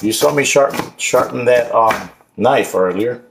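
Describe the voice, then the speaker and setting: A man's voice: mid-range voice, a little slow, vocal fry. Boastful joking man in a noisy kitchen. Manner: boastful, joking, streetwise slang. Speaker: home cooking vlogger. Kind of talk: casual cooking vlog with banter. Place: home kitchen, indoors. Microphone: camera at counter level. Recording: noisy kitchen with chopping clatter.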